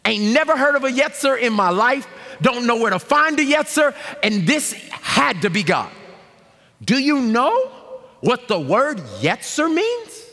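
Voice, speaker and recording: A man in his thirties preaching a sermon from a large church stage, animated and shouting call-and-response lines to the congregation. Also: very high-pitched, a little slow. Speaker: pastor. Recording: long reverb of a large hall.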